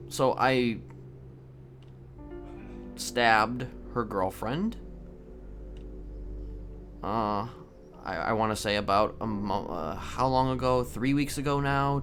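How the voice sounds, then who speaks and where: A man's voice: medium pitch, fairly bright tone. A man playing a hesitant, stammering serial killer who politely and evasively confesses his crimes in an improvised roleplay game. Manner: halting, stammering, evasive, politely matter-of-fact.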